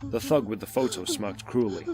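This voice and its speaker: A man's voice: lowish voice, animated, normal speed. A man narrating an audio drama in a scripted, dramatic storytelling style. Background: music.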